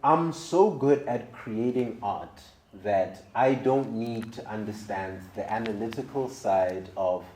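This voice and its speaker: A woman with an exceptionally low, mellow voice chats as an interviewer in a roomy-sounding space. She is agreeable, often interjecting to echo a point in a casual, conversational style. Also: slow, few pauses. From South Africa. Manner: agreeing, echoing the other speaker, interjecting. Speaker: radio show host, interviewer.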